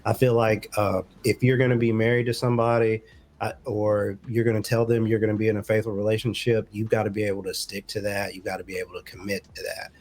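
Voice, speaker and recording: A man co-hosting a talk show, giving relationship advice in a measured, explanatory way with casual phrasing. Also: lowish voice, level tone, darkish tone.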